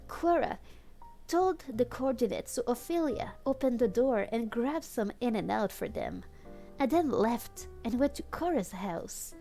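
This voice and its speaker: A woman's voice: a little high, lively intonation, a little slow, mellow, soft background music. A woman streamer reading fan fiction aloud in a casual, comedic way, easily cracked up and commenting with amusement as she goes. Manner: giggly, easily cracked up, acts out dialogue.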